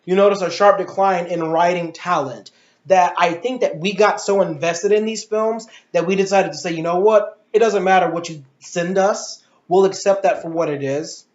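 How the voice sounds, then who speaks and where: A woman's voice: lowish voice, darkish tone. A woman giving an opinionated, casual movie review, ranting emphatically with swearing and a rambling, exasperated delivery. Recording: roomy echo, dull lo-fi sound.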